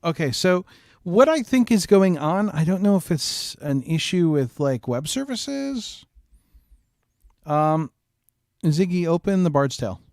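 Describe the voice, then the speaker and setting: A man hosts a casual game playthrough with short commands and offhand remarks. His voice is a bit high and moves around a lot in pitch, recorded close and very dry. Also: sing-song, a little slow, darkish tone, dry recording. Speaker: show host playing a voice-controlled game. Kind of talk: casual spoken commands and commentary.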